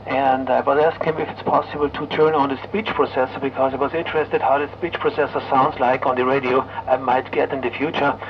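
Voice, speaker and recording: A muffled ham radio signal with clear noise carries a middle-aged German-accented man. His voice has a gravelly creak, and he talks casually but haltingly, searching for his words. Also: medium pitch, level tone. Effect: shortwave radio transmission. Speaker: amateur radio operator.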